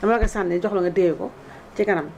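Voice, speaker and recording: A woman with a Senegalese accent works as an interviewer in a political conversation. Her voice sits at a medium pitch and can be halting as she builds a point. The recording carries noticeable noise. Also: steady tone, vocal fry, darkish tone, poor audio.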